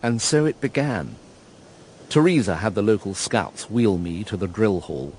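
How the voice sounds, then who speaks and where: Low-voiced, wry English narrator. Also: male voice, expressive, measured pace, darkish tone, a little hiss or hum. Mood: measured, reflective. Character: wry, reflective crippled man narrating his story. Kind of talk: first-person radio drama narration.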